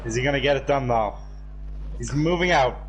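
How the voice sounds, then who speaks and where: A man's voice: mid-range voice, brisk, fairly bright tone, slight background noise, low-quality recording. A man co-commentating a live sim race, chiming in with short casual reactions.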